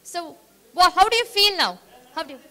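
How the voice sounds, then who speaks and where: A woman runs a live workshop, her voice high and bright. She talks with energy, firing quick questions at the room to draw the crowd in. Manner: energetic, rallying the audience with questions. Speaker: workshop trainer. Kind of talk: interactive training session. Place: meeting hall with a live audience.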